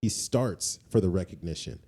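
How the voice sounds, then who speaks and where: A man's voice: deep voice, animated, normal speed, warm tone, husky. A man talking casually as a podcast co-host, informal and slangy, often repeating phrases for emphasis. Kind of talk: casual conversational talk.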